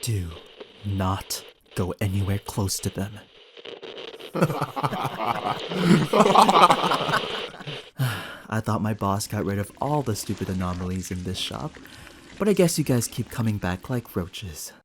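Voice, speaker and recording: A man's voice: fairly low pitch, very animated, takes it slowly, pauses often, noisy background, full character voice. A young man playing a flustered, protective barista who is secretly a time agent, in an ASMR sci-fi roleplay, speaking with stammers. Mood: urgent, alarmed. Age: young adult. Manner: stammering, breathy sighs and nervous laughs. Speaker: roleplay actor.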